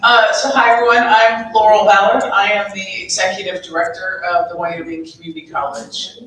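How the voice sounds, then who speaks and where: A woman's voice: medium pitch, little pitch movement, a little fast, a bit bright. A woman executive director introducing herself on a panel with self-deprecating jokes. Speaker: state commission executive director. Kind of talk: conference panel talk. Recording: echoey hall, mic at a distance.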